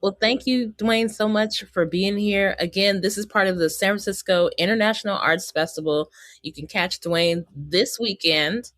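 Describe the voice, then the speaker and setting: A woman's voice: mid-range voice, normal speed, fairly bright tone, no room sound. American woman hosting, close dry sound. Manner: chatty, informal, addresses listeners directly. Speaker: podcast host. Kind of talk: casual podcast hosting and interviewing.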